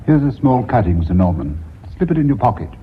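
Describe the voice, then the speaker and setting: A man's voice: mid-range voice, sing-song, a little fast, lightly acted. A man acting in a radio drama as a sentimental, whimsical clothmaker. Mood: kindly. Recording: muffled distant radio recording, faint hiss.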